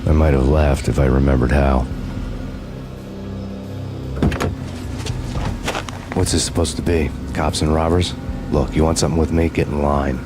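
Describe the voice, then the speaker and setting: A brooding hardboiled fugitive cop in a noir video game. His voice is extremely deep and stays flat and deadpan, with a sardonic, world-weary edge. Music plays behind him. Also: fairly quick. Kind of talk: noir scripted narration and game dialogue.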